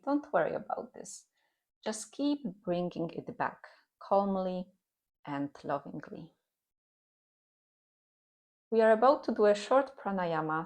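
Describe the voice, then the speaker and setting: Low-pitched, creaky-voiced woman guiding meditation. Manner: calm, gentle, soothing instruction. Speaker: yoga and meditation teacher. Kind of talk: guided meditation and gentle yoga instruction.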